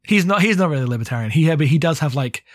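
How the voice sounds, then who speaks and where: A man's voice: a little high, expressive, very fast, dry recording, studio quality. A man co-hosting a podcast in a casual book discussion, opinionated and sweary, rambling along with lots of "like". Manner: rambling, heavy use of "like". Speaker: podcast co-host.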